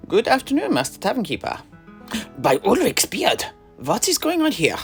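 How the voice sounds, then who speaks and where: A man's voice: high-pitched, huge pitch swings, soft background music, heavily acted. A man playing a proper, bewildered knight in a fantasy audio drama, formal and declamatory in a politely incredulous way. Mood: shocked. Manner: declamatory, formal, halting when embarrassed. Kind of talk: in-character audio drama dialogue.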